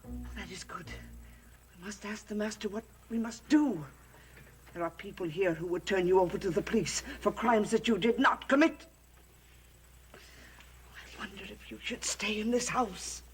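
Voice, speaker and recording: An actress playing an anxious, devoted female servant in a gothic soap opera, speaking gravely and formally. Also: mid-range voice, measured pace, pauses often, slight background noise, natural voice. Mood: urgent, hushed. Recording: dramatic music behind the voice.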